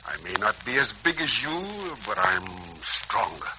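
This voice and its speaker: An older American actor playing a kindly, modest, philosophical uncle in a 1940s radio serial, folksy and earnest. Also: male voice, on the low side, big pitch swings, normal speed. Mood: earnest, resolute. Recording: noisy, scratchy 1940s radio recording.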